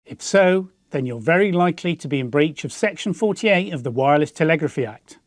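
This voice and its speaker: A man's voice: on the high side, lively intonation, brisk, no echo, studio quality. A man with a British accent presenting a scripted explanatory piece as the host of a hobby channel. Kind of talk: scripted explanatory narration to viewers.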